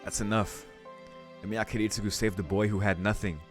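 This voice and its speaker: Male let's play host: low voice, dramatic story narration, faint music behind. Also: steady tone, fairly quick. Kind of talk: dramatic reading of visual novel narration.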